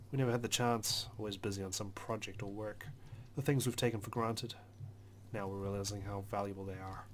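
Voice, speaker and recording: A man reading a video game's story aloud as a let's player, in an expressive, dramatic narrating style. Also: lowish voice, a little hiss or hum. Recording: music behind the voice.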